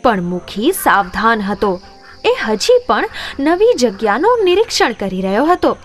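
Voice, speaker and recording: A woman reads a children's storybook tale in an expressive narrator's manner. Her voice sits high and moves widely in pitch, with soft music behind her. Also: unhurried.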